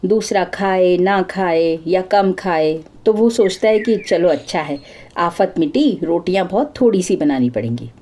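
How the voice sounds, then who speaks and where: Mellow woman storyteller. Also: on the low side, lively intonation, measured pace, a little hiss or hum. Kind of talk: scripted folk-tale narration.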